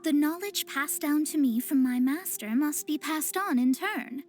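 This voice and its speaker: A woman's voice: high-pitched, measured pace. A woman voicing a proud young woman astrologer in a video game, speaking in a pompous, formal, precise way. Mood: persuasive, grand. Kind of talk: scripted video game voice acting. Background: game music.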